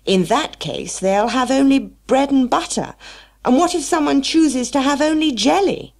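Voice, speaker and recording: Busy male hare for a storybook, female performer: excitable, touchy, eager, raised and very animated pitch. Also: unhurried, gravelly, heavily acted. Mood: cross.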